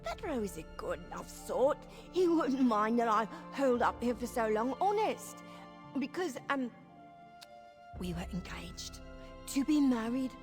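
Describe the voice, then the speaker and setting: A woman's voice: a little high, big pitch swings, measured pace, soft background music. An actress playing a drunken female squatter in a fantasy video game, rambling, stumbling over words and lying badly. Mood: wary, bluffing. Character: tipsy, rambling female squatter, chatty shameless bad liar. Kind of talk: video game character dialogue.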